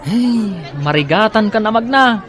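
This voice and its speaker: Animated, nagging Ilocano man. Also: high voice, a little slow, a faint crowd. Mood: nagging, impatient. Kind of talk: Ilocano comedy radio drama acting.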